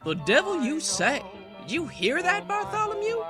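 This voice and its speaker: A man's voice: extremely high pitch, huge pitch swings, moderate pace, over music, exaggerated voice. A man acting a brash, confident Wild West lawman turned saloon businessman in a fan comic dub. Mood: pleased, brisk.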